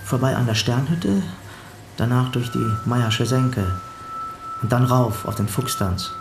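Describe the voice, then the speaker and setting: Casting: actor as gravelly male parish helper, meticulous matter-of-fact witness in a radio play, roomy recording. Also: mid-range voice, measured pace, over music, close to an everyday voice. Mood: earnest recounting. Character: dutiful male parish helper recounting to an investigator. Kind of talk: German radio drama acting. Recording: roomy sound with faint background ambience.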